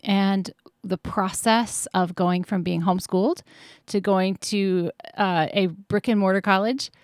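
A woman in her thirties hosts a podcast, chatting in an easygoing, upbeat way and laughing often. Her voice sits at a middle pitch and sounds close and dry, with no room sound around it. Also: steady tone, unhurried, darkish tone, no room sound. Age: 30s. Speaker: podcast host. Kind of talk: casual podcast intro and interview.

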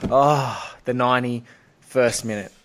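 A man's voice: medium pitch, slow. A man hosting a film podcast in a giddy, effusive, emphatic way. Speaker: podcast host. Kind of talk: enthusiastic film podcast introduction.

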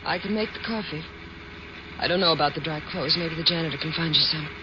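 A woman's voice: low-pitched, fast, close to an everyday voice. An actress playing a desperate, guarded young woman in a 1950s radio drama, with an anguished, pleading manner. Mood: subdued. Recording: muffled, noisy vintage radio recording.

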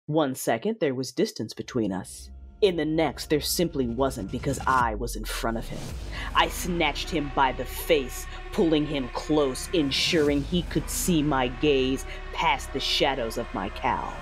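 An actor playing a cruel, vengeful Sith lord, narrating an audio drama in a deliberate, commanding, menacing way with a husky voice. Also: medium pitch, close to an everyday voice. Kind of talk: first-person audio drama narration with dialogue. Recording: dramatic music behind the voice. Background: music.

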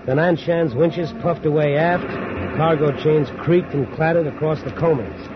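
An actor playing a brash, grumbling first mate in a 1940s radio adventure, with a sarcastic, slangy, exasperated manner. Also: male voice, on the high side, moderate pace, noisy background, natural voice. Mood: wry storytelling. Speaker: actor and first-person narrator in radio drama. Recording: muffled old 1940s radio, music behind.

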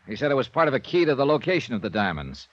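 An actor playing a brash, wry American insurance investigator in a 1950s radio drama, with a clipped, hard-boiled delivery. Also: male voice, mid-range voice, very animated, rapid-fire, no echo. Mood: wry, businesslike. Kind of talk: 1950s radio drama acting and first-person narration. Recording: dull 1950s radio recording with music.

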